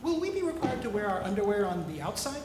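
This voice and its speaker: A woman's voice: mid-range voice, sing-song, fast, a little mellow, close to an everyday voice. A woman playing a keen, precise, dry-witted marshal's sidekick in a retro sci-fi radio serial, recorded in an echoey hall. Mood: dry humor. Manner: precise, dryly witty. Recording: echoey hall, slight background noise.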